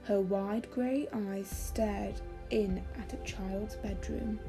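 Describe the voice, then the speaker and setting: A woman storyteller giving a calming, expressive, measured reading of a children's fairy story. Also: medium pitch, loud music behind the voice.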